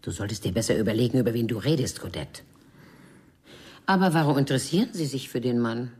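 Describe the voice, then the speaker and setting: An actress playing a haughty, disdainful middle-aged Parisian madame in a radio crime drama, with a husky, breathy voice and theatrical delivery. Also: female voice, rumbling low, quick pace. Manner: haughty, theatrical, swooping between indignation and hushed tones. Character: haughty mature Parisian bourgeois madame, disdainful, betrayed wife.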